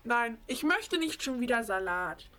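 A girlish female player as high-voiced fussy talking rabbit. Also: very animated, unhurried, fairly bright tone, a little hiss or hum. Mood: grumpy, indignant. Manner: complaining, questioning. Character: talking pet rabbit, fussy, indignant and anxious. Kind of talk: children's radio play acting.

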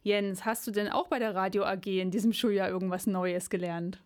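A woman's voice: mid-range voice, fairly even intonation, brisk, a little mellow. A woman hosting a radio programme, introducing segments and putting questions to her guest. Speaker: radio show host. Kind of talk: radio moderation and interview.

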